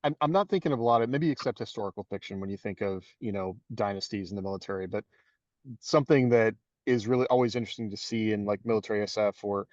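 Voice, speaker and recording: Thoughtful guy on a podcast, quick and lowish, kinda dull lo-fi mic. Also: a little mellow, dry recording. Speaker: podcast co-host. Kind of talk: casual chat.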